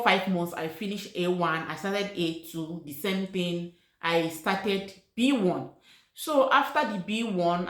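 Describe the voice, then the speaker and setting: A woman's voice: on the low side, a bit bright. A middle-aged woman from Nigeria whose first language is Igbo, telling her personal story to camera in a casual, earnest way and repeating key words for emphasis. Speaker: vlogger. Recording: echoey room.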